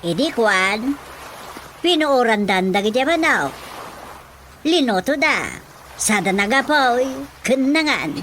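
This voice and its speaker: A woman's voice: on the high side, animated, slow pace, pauses often, a bit bright, a little hiss or hum, rough recording. A woman playing a middle-aged, superstitious village mother in a radio drama, telling things expressively with a Filipino Ilocano accent. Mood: earnest storytelling. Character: chatty superstitious village mother who believes in folk healing.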